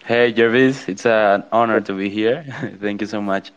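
A man from Venezuela, a guest on a panel show, speaking in a friendly, relaxed way. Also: fairly low pitch, normal speed, smooth. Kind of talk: casual conversation. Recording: dull lo-fi line.

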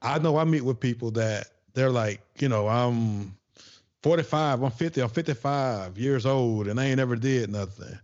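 A man's voice: mid-range voice, moderate pace, darkish tone. A male podcast host who interviews a guest, rambling with many restarts and fillers. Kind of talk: conversational interview. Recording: dull lo-fi sound.

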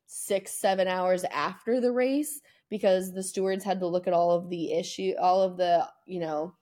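A woman with a somewhat low voice talks as a podcast co-host. Her delivery is casual and conversational, with emphatic, exaggerated phrasing and openly opinionated turns. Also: steady tone, moderate pace, dark tone. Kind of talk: casual sports chat.

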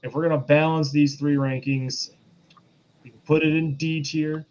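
A man's voice: fairly high pitch, little pitch movement, moderate pace, darkish tone. A man co-hosting a podcast, casually reviewing in a hesitant way, repeating himself as he searches for words, on a lo-fi microphone. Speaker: podcast co-host. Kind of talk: casual chat, film review. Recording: dull lo-fi mic with faint noise.

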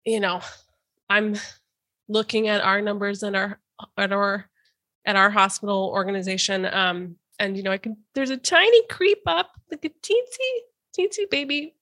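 A woman's voice: mid-range voice, normal speed, a bit bright. A woman hosting a casual interview podcast, chatty and hesitant with many fillers and restarts, a little stuffy from a cold. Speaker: podcast host.

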